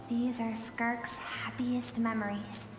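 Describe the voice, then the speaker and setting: An actress playing a gentle, sorrowful storyteller in a video game, speaking softly and often breathily as she recounts a tragic past. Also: fairly high pitch, reverberant, long reverb tail. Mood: wistful. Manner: soft, wistful, measured, often breathy.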